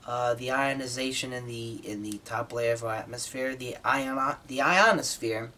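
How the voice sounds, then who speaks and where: An American man giving an informal, explanatory hobbyist talk, somewhat halting, with repeated words as he explains. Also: mid-range voice, sharp tone. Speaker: hobbyist presenter.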